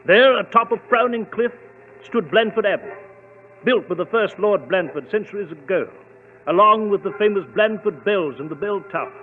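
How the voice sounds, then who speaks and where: An actor with a British accent playing a genial storyteller, narrating a scripted 1940s radio drama. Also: male voice, sits high, moderate pace, natural voice. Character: genial English storyteller recounting a mystery. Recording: echoey, noisy, tinny old radio recording.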